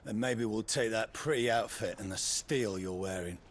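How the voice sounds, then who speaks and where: An actor playing a menacing, mocking soldier and shakedown thug in a fantasy video game. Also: male voice, lowish voice, a little slow, a little hiss or hum, lightly acted. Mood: mocking menace. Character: sneering, thuggish male soldier extorting travellers.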